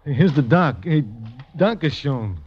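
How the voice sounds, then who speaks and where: An actor playing a good-natured, joking wounded sailor with casual slangy talk in an old radio drama. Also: male voice, on the high side, sing-song, measured pace, darkish tone. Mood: friendly. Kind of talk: 1940s-50s radio drama acting. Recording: muffled old radio recording, faint noise.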